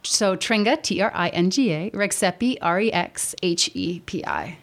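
A young woman in her twenties whose first language is Albanian, a musician guest on a radio show, talking quickly with frequent ums and restarts. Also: on the low side. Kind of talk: casual radio interview. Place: radio studio.

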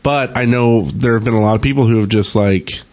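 A man talks as a podcast host in a loose group chat about gaming. His delivery is wry, with joking grumbles, in an ordinary mid-pitched voice. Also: fairly quick, a little mellow.

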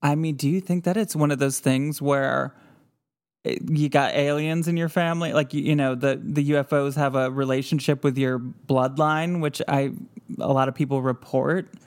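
A man hosting a podcast, asking a caller relaxed, conversational follow-up questions. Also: a little high, moderate pace. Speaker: podcast host. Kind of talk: casual interview chat.

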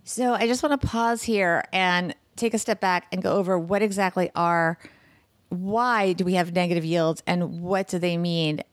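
A woman hosting a money podcast from her home kitchen, her voice hoarse, chatty and upbeat with quick asides. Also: on the low side, fairly even intonation, no echo, studio quality. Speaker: podcast host and financial planner. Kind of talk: casual podcast chat.

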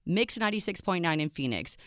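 A woman from Minnesota whose first language is Serbian, co-hosting a radio show in quick, upbeat casual talk. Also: on the low side, sing-song, dry recording, studio quality.

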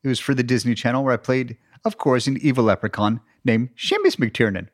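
A man's voice: mid-range voice, a little fast, no echo, clean studio sound. A man in his forties co-hosting a podcast, chatting casually with playful, self-mocking humor. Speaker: podcast co-host, actor. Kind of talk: casual chat.

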